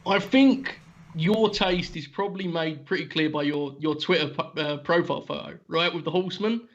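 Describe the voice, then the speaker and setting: A man's voice: fairly high pitch, moderate pace, dull audio. A young man hosting a podcast, chatty and joking with his guest. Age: young adult. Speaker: podcast host. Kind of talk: casual interview chat.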